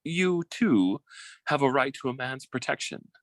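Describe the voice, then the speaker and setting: An actor playing a scheming, bullying yet cowardly suitor in an old-time radio drama, theatrical and given to stammering when flustered. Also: male voice, medium pitch, animated, measured pace, fairly bright tone, dry recording. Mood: oily flattery.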